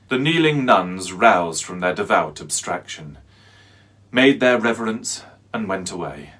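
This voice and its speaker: A man playing a haunted, fearful narrator in a dramatic reading of a horror tale, speaking deliberately and theatrically with halting pauses. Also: lowish voice, a bit bright. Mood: dazed. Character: haunted, fearful man recounting a nightmarish pursuit. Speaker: actor, narrator.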